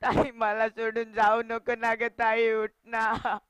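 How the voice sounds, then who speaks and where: A bereft younger brother played by a woman pleads tearfully in a high voice. The delivery is slow and drawn out, a melodramatic stage monologue full of grief, heard dry and close. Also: level tone, clean tone, no echo. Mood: tearful pleading. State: crying. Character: grieving orphaned younger brother pleading over dead sister.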